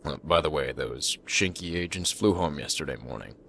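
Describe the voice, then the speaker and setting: Man playing a gravelly, extremely deep-voiced scheming father. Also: lively intonation, normal speed, fairly bright tone, slight background noise, exaggerated voice. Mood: calm, manipulative. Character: controlling, falsely kindly father, middle-aged schemer. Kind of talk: anime fan audio drama.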